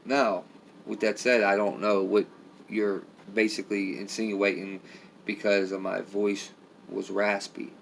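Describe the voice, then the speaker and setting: A middle-aged man with a raspy voice, tired and rambling as he talks casually to viewers on a live stream. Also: fairly low pitch, flat delivery, unhurried, a bit bright, a little hiss or hum. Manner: rambling, heavy with filler phrases. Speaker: vlogger.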